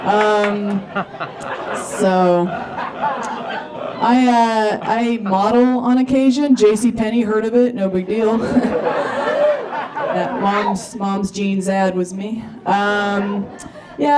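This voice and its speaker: A young woman from Oregon works a comedy club stage, her jokes dry and self-mocking and broken up with um and uh. Her voice comes through a stage mic from a little way off, with the audience laughing loudly around her. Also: mid-range voice, fairly even intonation, a little slow, far from the mic, crowd noise, poor audio. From Oregon, USA. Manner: dry, self-deprecating, with many ums and uhs. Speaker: stand-up comedian. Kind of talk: stand-up comedy routine.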